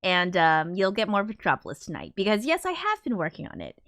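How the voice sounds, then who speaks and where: A young woman talks casually into a close, dry microphone, a little sick and sniffly. She rambles in a chatty, self-deprecating way, swears now and then, and her voice sits at a middle pitch. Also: huge pitch swings, fairly quick, fairly bright tone, no echo. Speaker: livestream host. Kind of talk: casual livestream chat.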